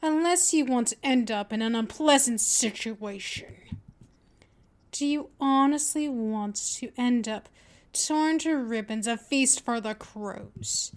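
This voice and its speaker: A dominant vampire mistress, acted by a woman, speaks in a fairly high voice at a very slow pace. She is patient and possessive, teasing and coaxing her captive in an intimate ASMR roleplay style. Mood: menacing. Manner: slow, deliberate, coaxing, with drawn-out pet names.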